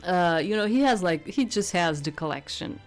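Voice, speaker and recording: Woman gamer chatting over a playthrough, lowish voice, rambly, faint music behind. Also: expressive, normal speed. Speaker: let's play host. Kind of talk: casual gameplay commentary with read-aloud dialogue.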